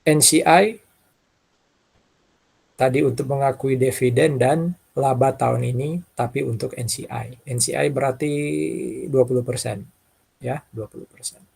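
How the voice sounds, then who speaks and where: Husky-voiced male lecturer. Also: mid-range voice, steady tone, unhurried, long pauses, darkish tone. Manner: conversational, often checks understanding with short tags. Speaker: accounting lecturer. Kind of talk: informal classroom lecture, working through examples.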